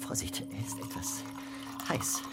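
An actor playing a servile, fussily deferential servant in a children's radio play, obsequious and precise. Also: male voice, a little slow, fairly bright tone, natural voice.

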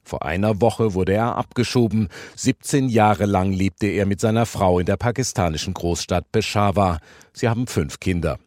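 A man's voice: on the low side, moderate pace, a little mellow, no echo, clean studio sound. A man reads a scripted radio news report as the reporter. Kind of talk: scripted news report narration with translated quotes read over.

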